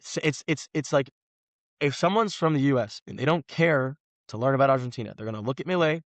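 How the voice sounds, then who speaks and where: A man's voice: mid-range voice, lively intonation, brisk, no room sound. A man in his twenties from the United States, a podcast guest talking casually in an emphatic, rambling way full of "like" fillers. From United States, Los Angeles. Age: 20s. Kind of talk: casual conversation.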